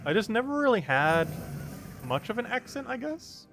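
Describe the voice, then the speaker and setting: A man's voice: very high-pitched, big pitch swings, moderate pace, vocal fry, fairly bright tone, faint music behind. A young man from New Jersey with a New Jersey accent, chatting casually and rambling as he commentates a game stream, chuckling often.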